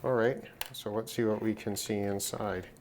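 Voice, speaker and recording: A man giving casual, unscripted commentary on a hands-on repair, halting and musing to himself as he works. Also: on the low side, steady tone, darkish tone. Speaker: host of a hobby radio repair video. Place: at a desk, indoors. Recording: clear background noise, handling clicks.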